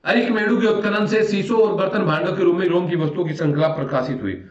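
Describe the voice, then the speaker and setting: A man's voice: high voice, quick pace, over a noisy crowd. A man teaching history in an informal exam-review lecture, repeating phrases for emphasis and answering his own questions. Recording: roomy echo, distant mic, dull sound.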